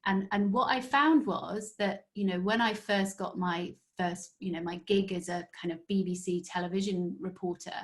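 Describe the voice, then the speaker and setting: Woman guest, story strategist, animated chatty storyteller. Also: fairly low pitch, moderate pace, hardly any pauses. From northeast England. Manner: animated storyteller, many fillers. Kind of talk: casual interview chat.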